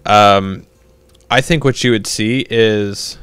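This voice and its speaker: A young man with a low, smooth voice chatting casually on a livestream. He rambles through viewer questions with plenty of ums and restarts and laughs easily. The sound is close and dry. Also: slow, fairly bright tone, no room sound. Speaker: livestream host.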